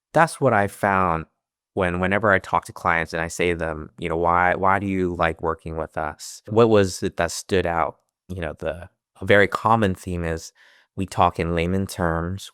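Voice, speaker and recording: A man's voice: deep voice, a bit bright, smooth, dry recording, studio quality. A man in his thirties, raised in America speaking Chinese first at home, answering interview questions earnestly with frequent restarts. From United States. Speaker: financial advisor, interview guest.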